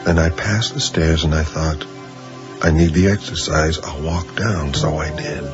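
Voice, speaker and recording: Radio drama: confused middle-aged accident patient, slow and halting, obsessive, muffled broadcast under strong music. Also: male voice, a bass voice. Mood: dazed musing. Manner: hesitant, halting, musing. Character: dazed male accident patient with a strange obsessive story. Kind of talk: 1980s radio drama acting. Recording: dull 1980s radio broadcast, loud music.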